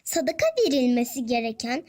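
A woman voicing a gentle, affectionate mother of young children in a cartoon dub, encouraging in manner. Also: high-pitched, a little fast. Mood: gentle, instructive.

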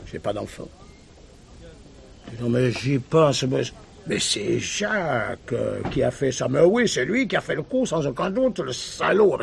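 An actor playing an emotional, blunt middle-aged chef in a detective radio play, halting and colloquial. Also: male voice, medium pitch, creaky. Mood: accusing.

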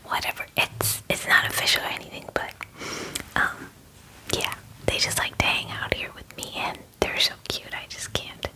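A woman in her twenties playing a caring werewolf-spa groomer in a gentle, soothing ASMR roleplay, close to the mic. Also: normal speed, lightly acted, rough recording. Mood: bashful, fond. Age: 20s. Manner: gentle, soothing, softly reassuring. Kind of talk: whispered ASMR personal-attention roleplay. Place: small dim indoor room. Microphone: close mic, face near the camera.

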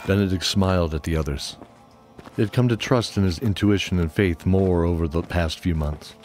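A middle-aged man hosting and narrating an audio drama in a measured, deliberate way with long pauses. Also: deep voice, darkish tone. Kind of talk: sincere spoken introduction, then scripted fantasy narration. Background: new-age music.

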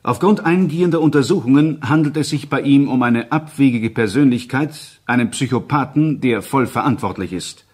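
An actor playing a formal psychiatric expert reading a report to a court, clinical and detached. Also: male voice, medium pitch, moderate pace, hardly any pauses, darkish tone, husky, lightly acted. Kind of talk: scripted formal reading in a crime drama.

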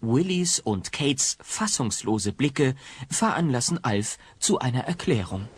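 An actor narrating a comedic family radio play as a wry storyteller with a husky voice. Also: male voice, medium pitch, very animated, a little slow, noisy background.

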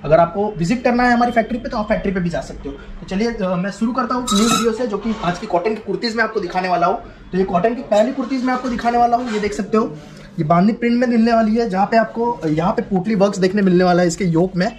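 A man's voice: sits high, fast talker, a little mellow, slight background noise. A man giving a casual, repetitive sales showcase as a wholesale clothing seller, mixing in English words. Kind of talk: casual product showcase to camera. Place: clothing showroom, indoors.

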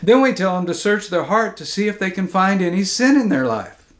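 A man in his fifties, a pastor, reading his own book aloud in measured, deliberate phrases that fall at the end. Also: sits high, big pitch swings, a bit bright. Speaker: pastor and author. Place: small indoor study.

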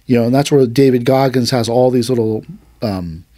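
A man's voice: medium pitch, moderate pace, warm tone, no echo, clean studio sound. A man who teaches aikido, hosting a casual podcast talk and telling stories loosely with many fillers. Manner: loose storytelling with many fillers, acts out quotes.

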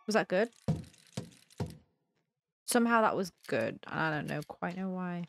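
A woman's voice: on the low side, very animated, a little slow, gravelly, noisy background. A young woman gives casual let's play commentary, thinking aloud and questioning herself in puzzlement. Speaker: gaming video host. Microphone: close desk mic with headset on.

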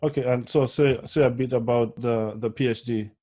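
Mellow middle-aged male interviewer. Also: mid-range voice, fairly even intonation, normal speed. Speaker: interviewer, journal editor. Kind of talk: interview questions. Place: indoor office. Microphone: headphones on a video call.

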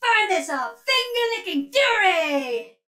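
A woman's voice: very high-pitched, sing-song, slow pace, bright, forceful tone, full character voice. A woman playing a feisty, sassy robot oven mom who bakes and fights, delivering theatrical punning one-liners for a video game. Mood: gleefully menacing. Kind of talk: video game character voice lines.

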